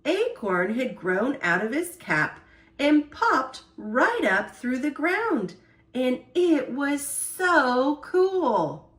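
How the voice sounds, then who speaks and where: High-voiced, animated woman reading a picture book. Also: reverberant. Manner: expressive, deliberate phrasing. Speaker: storyteller. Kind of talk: children's picture-book read-aloud.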